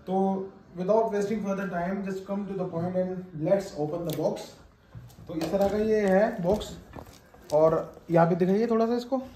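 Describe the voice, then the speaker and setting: Man, thirties, from India, casual product review, chatty, mellow voice, faint room hiss. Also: high voice. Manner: chatty, addresses viewers as guys and friends, mixes in English phrases. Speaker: motovlogger reviewing a helmet. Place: small home room with shelves of trophies, indoors.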